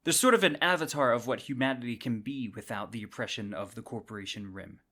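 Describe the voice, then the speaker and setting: A man's voice: medium pitch, fast talker, bright, forceful tone. A man speaking as a podcast host, delivering explanatory commentary in a conversational, emphatic way with rhetorical questions. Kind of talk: semi-scripted explainer commentary.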